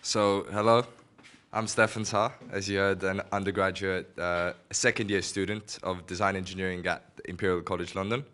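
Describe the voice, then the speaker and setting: A young man from Estonia in his twenties presenting a student design project to an audience. He has lost part of his voice and speaks haltingly with many fillers, into a handheld mic in a small gallery room. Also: low-pitched, fairly even intonation, a bit bright, clean tone. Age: 20s. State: losing his voice. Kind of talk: conference presentation. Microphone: handheld microphone.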